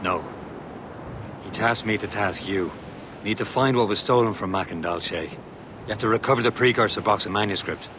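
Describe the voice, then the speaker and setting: A man acting as a gruff but loyal Assassin in a video game. The lowish voice is dry and businesslike, set against clearly audible outdoor ambience. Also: normal speed, close to an everyday voice. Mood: purposeful. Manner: dry, matter-of-fact. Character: steady, loyal male Assassin, gruffly teasing friend. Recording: noisy outdoor ambience behind.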